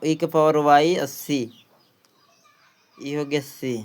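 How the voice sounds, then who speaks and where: Slow, clear-voiced Bihari man teaching maths. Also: fairly high pitch, little pitch movement. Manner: repeats phrases and asks himself questions. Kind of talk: exam practice lesson, working through questions.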